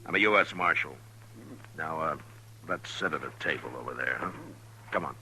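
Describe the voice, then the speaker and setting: A man playing a firm, calm, plainspoken frontier marshal in an old-time radio western. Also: a bass voice, moderate pace, slight background noise, heavily acted, poor audio. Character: steady, firm, laconic male frontier marshal.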